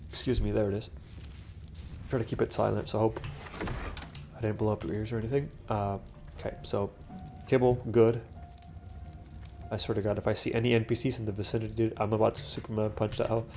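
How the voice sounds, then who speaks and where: A man's voice: lowish voice, little pitch movement, a little fast, long pauses, a little mellow, faint music behind. Congested young man rambling over gameplay. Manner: rambling, profane, many fillers and restarts. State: congested, stuffy nose. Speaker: gaming commentator. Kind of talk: casual solo gameplay commentary. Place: home room.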